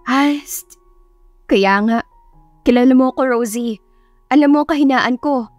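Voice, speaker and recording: A woman's voice: sits high, unhurried, no room sound, soft background music. A woman voicing an anxious, pleading twenty-five-year-old daughter, stubborn and romantic, in an emotive audio drama style. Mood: worried, protesting.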